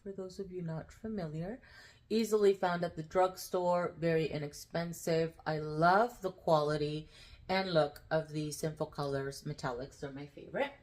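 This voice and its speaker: A woman with a slightly low voice talks through her polish collection in a loose, rambling way. Her voice sounds nasal and congested. She fills gaps with ums and soft laughs, staying upbeat. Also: fairly even intonation, normal speed. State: full after eating. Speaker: nail polish vlogger. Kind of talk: casual chatty product talk.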